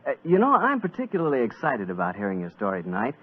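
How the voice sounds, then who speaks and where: An actor playing a friendly announcer, chatty and courteous, in 1940s radio style. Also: male voice, medium pitch, huge pitch swings, quick pace. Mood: friendly, interested. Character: friendly announcer visiting an old storyteller. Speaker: radio host. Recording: old muffled 1940s radio recording.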